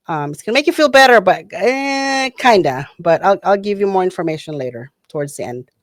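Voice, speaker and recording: A woman's voice: medium pitch, expressive, fairly quick, no echo, clean studio sound. A middle-aged woman from the Philippines, reacting to videos in a chatty, hesitant way with many fillers and restarts. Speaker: reaction channel host. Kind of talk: casual reaction commentary.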